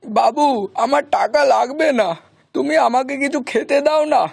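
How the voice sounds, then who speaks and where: A man voicing an eager, hungry little village boy with a high, husky voice in a children's cartoon. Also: moderate pace, no echo, studio quality, full character voice. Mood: pleading.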